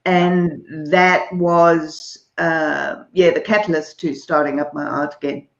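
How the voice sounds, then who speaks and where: A woman from Zimbabwe and South Africa with a South African accent, a guest answering interview questions in a relaxed, thoughtful way with many hesitations. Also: sits low, slow pace, gravelly. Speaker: artist and author, interview guest. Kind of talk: casual video-call interview. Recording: dull lo-fi video call in a roomy space.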